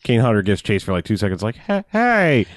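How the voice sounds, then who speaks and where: A man co-hosting a casual podcast, retelling a film with quoted lines and trailing off into low mumbles. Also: huge pitch swings, a little fast, no echo, studio quality. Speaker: podcast co-host. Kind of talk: casual chat about a movie.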